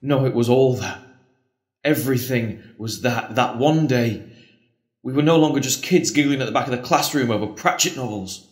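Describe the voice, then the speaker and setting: An actor playing an earnest, pleading priest torn by an old love in an audio drama. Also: male voice, mid-range voice, moderate pace, close to an everyday voice. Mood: tender, earnest. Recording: roomy, echoing space.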